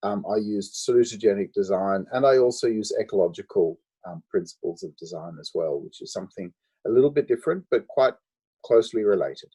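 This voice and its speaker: A man from Australia tells his story in an interview, stopping often with ums. His voice is low and mellow, a little husky. The sound is dull and lo-fi. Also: expressive. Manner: thoughtful, many ums and pauses. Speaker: guest architect. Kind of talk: interview, answering questions.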